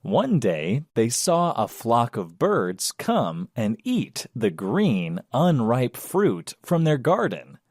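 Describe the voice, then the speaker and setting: A man narrates a children's storybook at a slow, measured pace. His pitch swings widely as he tells the tale, and the recording is dry with no room around it. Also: wildly swooping pitch, darkish tone, dry recording.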